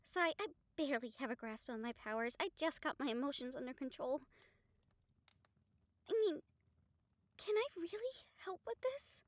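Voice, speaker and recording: A woman's voice: sits high, animated, moderate pace, pauses often, dry recording. A woman playing an anxious young magic user in an audio roleplay, nervous, questioning and emotional. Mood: anxious, rattled. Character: anxious young female magic user, unsure of her powers.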